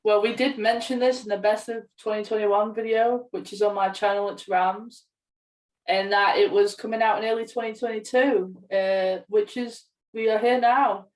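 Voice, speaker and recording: Young female co-host, average pitch, recorded at some distance from the microphone. Casual, plain-spoken, explanatory chat. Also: steady tone, normal speed. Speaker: podcast co-host.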